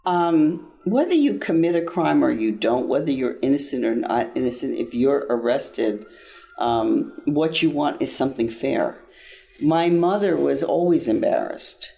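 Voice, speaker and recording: A man's voice: mid-range voice, lively intonation, a little slow, creaky, mellow. An older man giving an interview about his own experience, speaking earnestly with frequent restarts. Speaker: interview guest, nonprofit founder. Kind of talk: interview answers, personal account.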